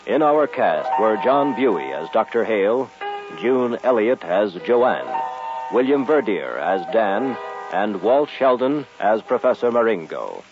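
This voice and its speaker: A man announcing the closing credits of an old-time radio show in a formal style. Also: fairly low pitch. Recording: muffled vintage radio recording. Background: loud music.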